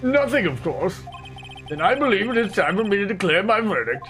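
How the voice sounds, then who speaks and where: Guy doing old courtroom judge from a video game, stuffy n measured, highish voice, bit of music behind. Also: very animated, fairly bright tone. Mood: formal, deliberate. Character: ponderous, formal elderly male courtroom judge. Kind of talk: video game character voicing.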